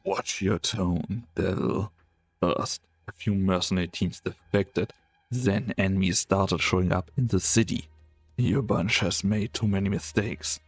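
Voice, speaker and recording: A man's voice: low voice, fairly even intonation, measured pace, dry recording. A man in a fan dub of a game, playing a contemptuous rebel enforcer with a threatening, accusing delivery. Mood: menacing. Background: music.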